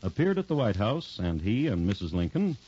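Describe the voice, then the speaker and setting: An actor playing a wry, deadpan true-crime host, narrating a scripted 1950s radio show with dry irony. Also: male voice, lowish voice, brisk, slightly rough, full character voice. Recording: dull 1950s radio recording.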